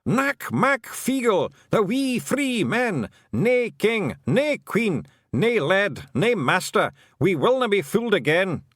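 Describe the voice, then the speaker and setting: A man's voice: very animated, normal speed, dry recording, studio quality. A man voicing a tiny, scrappy blue fairy clansman in a broad Scottish brogue. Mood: defiant. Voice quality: gruff broad brogue, high and shouty. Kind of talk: audiobook character voice.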